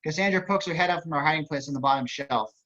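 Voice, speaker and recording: A man's voice: a little high, quick pace, pushed and bright. A man narrating stage directions at an online table read, with wry, casual asides. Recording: dull video-call audio.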